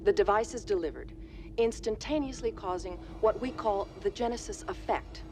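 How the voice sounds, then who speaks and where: A woman's voice: medium pitch, noisy background, close to an everyday voice. Actress as a composed, precise female scientist. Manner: formal, precise, measured. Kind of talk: scripted scientific briefing from a film.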